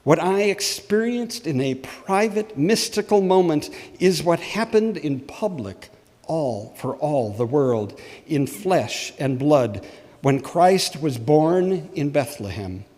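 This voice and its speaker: A man's voice: a little high, big pitch swings, darkish tone, exaggerated voice. Man as a solemn prophet in a reverberant hall. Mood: fervent reverence. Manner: measured, emphatic oratory with rhetorical pauses. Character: ancient Hebrew prophet, solemn, impassioned, exhorting. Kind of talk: dramatic first-person sermon read from a script.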